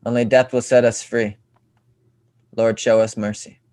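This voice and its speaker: Male actor as hapless dreamer, aspiring writer, theatrical, excitable comedy character, screenplay table read. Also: medium pitch, unhurried, natural voice. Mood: bleak, despairing. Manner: theatrical, swinging between gushing and bleak.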